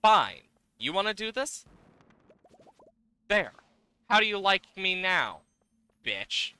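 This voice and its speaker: A man's voice: high voice, sing-song, slow, sharp tone, clean tone, no echo. A man giving casual livestream game commentary, playfully exasperated, swearing and exclaiming at the game as he plays.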